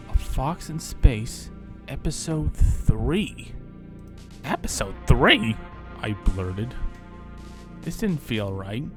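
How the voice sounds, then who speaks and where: Male narrator, mid-pitched, theatrical first-person storytelling, clear music bed underneath. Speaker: narrator reading his own horror story.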